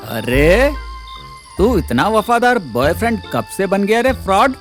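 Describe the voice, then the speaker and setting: A man's voice: high voice, big pitch swings, unhurried, faint music behind. A man playing a cocky, sadistic knife-wielding villain in an audio drama, taunting and sneering with mock politeness. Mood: menacing, teasing. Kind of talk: Hindi audio drama acting.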